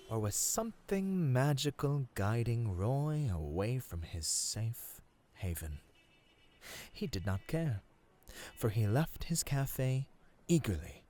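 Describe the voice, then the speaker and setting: A man giving a measured, theatrical and formal storybook narration for an ASMR roleplay. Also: fairly low pitch, darkish tone, slight background noise.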